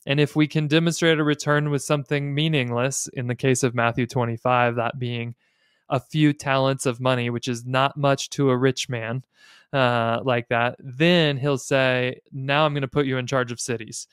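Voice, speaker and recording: An American man talks as a podcast host, explaining ideas in a thoughtful, measured way. His voice is smooth and mid-pitched, and it sits close on a studio mic with very little room sound. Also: steady tone, no echo. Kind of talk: explanatory podcast talk on personal finance and faith. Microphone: close studio mic on a boom arm.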